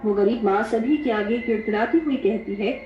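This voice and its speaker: A woman's voice: on the high side, moderate pace. A woman narrating a scripted dramatic story. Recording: echoey, dull, music behind.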